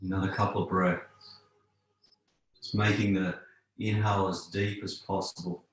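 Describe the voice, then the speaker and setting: Male yoga teacher, deep voice, calm guided-relaxation delivery with long pauses, recorded in a very reverberant room. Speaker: yoga instructor. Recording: very echoey room.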